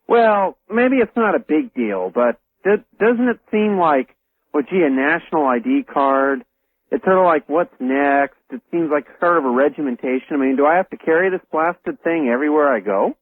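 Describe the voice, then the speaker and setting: A man's voice: on the high side, lively intonation, normal speed. An American man calling a radio show, talking casually in an incredulous, questioning manner. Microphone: telephone. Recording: tinny phone line on radio.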